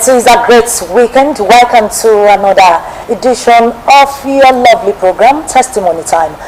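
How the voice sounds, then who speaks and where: A woman with a Nigerian accent presenting and narrating a script in a formal, deliberate storytelling manner. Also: fairly high pitch, over music, rough recording. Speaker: television presenter. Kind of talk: scripted programme introduction and biographical narration.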